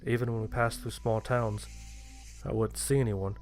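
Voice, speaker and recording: A man's voice: mid-range voice, flat delivery, normal speed, soft background music. A man reading a scripted first-person horror story as its narrator.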